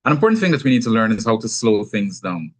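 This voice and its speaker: A man with a Guyanese accent, giving a measured, explanatory teaching talk with touches of gentle humor. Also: lowish voice, sing-song. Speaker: speaker on anger management.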